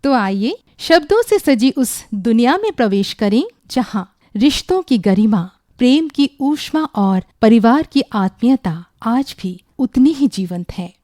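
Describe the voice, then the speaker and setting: A woman's voice: on the high side, very animated, unhurried, hardly any pauses, mellow, no room sound. Formal woman announcer, dry studio sound. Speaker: radio drama announcer. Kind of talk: formal scripted narration.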